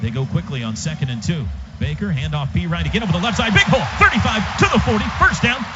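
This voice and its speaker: A man's voice: sits high, lively intonation, fast talker, over loud music. An American man calling live football play-by-play on the radio in clipped, punchy phrases. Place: stadium radio booth. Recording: dull, noisy live radio broadcast.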